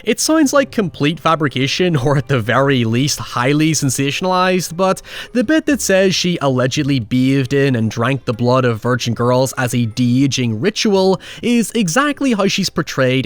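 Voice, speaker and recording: A man's voice: mid-range voice, sing-song, normal speed. A man narrating a scripted video essay as its host, giving his opinions with a wry, casually sweary manner. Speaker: host of a film retrospective show.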